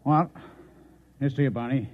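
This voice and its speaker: A man's voice: mid-range voice, fairly even intonation, moderate pace, natural voice. An actor playing a genial man drinking with friends in a 1950s radio drama. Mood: convivial. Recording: muffled narrow-band old radio transcription.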